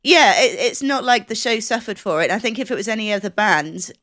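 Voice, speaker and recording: A woman's voice: on the high side, animated, fairly quick, fairly bright tone. A woman chatting casually as a podcast co-host, emphatic and free with swearing. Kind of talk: casual chat.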